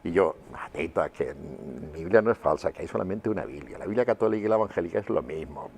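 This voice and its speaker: Very deep-voiced older man. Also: expressive, fast talker, creaky, a little mellow, slight background noise. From Huelva, Andalusia, Spain. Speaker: interview guest. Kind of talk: personal testimony in conversation.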